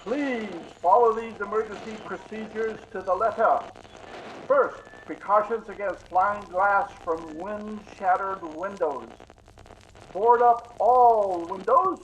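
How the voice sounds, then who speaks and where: A man's voice: sits high, low-quality recording. A man playing a grave, emphatic emergency announcer reading an old-time radio bulletin, with noise behind the voice. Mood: urgent, solemn. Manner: deliberate, solemn, emphatic. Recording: clear background noise on the recording.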